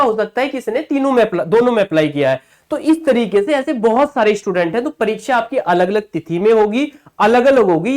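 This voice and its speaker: A male coaching teacher giving an online exam-prep lesson, who repeats key points, checks in with listeners often and addresses viewers familiarly as brothers. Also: high-pitched.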